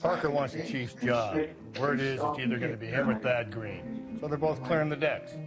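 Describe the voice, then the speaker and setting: An actor playing a blunt, cynical veteran homicide detective in a video game. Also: male voice, mid-range voice, normal speed, over loud music, natural voice. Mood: gruff. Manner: blunt, scornful. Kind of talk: video game dialogue acting.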